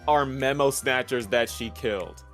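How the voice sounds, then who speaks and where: A man's voice: medium pitch, normal speed, faint music behind. A man chatting casually as a guest about a video game, enthusiastic and slangy. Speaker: guest co-commentator.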